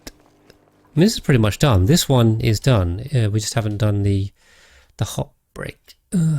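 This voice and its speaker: A British man with a calm, measured voice, giving casual game commentary and chatting with viewers as he streams. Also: mid-range voice, no echo. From Britain. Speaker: live streamer, host.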